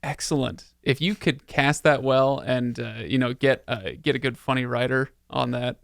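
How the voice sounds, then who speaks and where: A man's voice: medium pitch, fairly even intonation, moderate pace, vocal fry, a bit bright, no room sound, studio quality. A man hosting a podcast in casual chat, with a joking, self-mocking manner. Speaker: podcast host.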